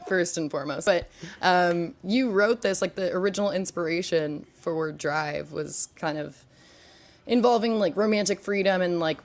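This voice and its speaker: A young woman hosts a relaxed online chat with a lowish voice and plenty of gravelly fry. She talks in a chatty, upbeat way, sprinkling "like" and "um" through her questions. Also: normal speed. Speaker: host. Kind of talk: casual live-stream hosting.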